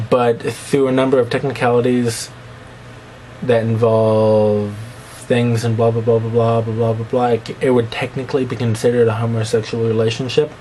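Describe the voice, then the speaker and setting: A man's voice: darkish tone, a little hiss or hum, low-quality recording. Rambling young man with a slight lisp. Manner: rambling, self-mocking, breaks into squeaky put-on voices. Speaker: vlogger. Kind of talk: rambling casual monologue to camera.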